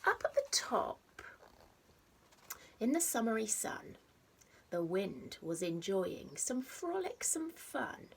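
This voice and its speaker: A woman reading a picture book aloud to young children like a teacher, expressively, with dramatic pauses and stressed rhymes and sound words. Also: on the high side, very animated, vocal fry, husky. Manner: simple explanatory asides addressed to young children. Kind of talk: animated storybook read-aloud.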